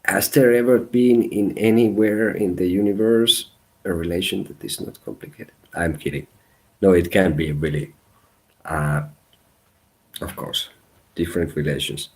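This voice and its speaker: A man from Finland whose first language is Finnish, giving a live tarot reading in halting English as he searches for words. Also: deep voice, fairly even intonation, poor audio. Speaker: tarot reader.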